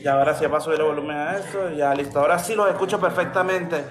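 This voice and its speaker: A man with a clearly high voice and a Venezuelan accent hosts a casual chat. He sounds like he is in an echoey room with other voices around. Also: fast, crowd noise. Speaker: host, creative dog groomer.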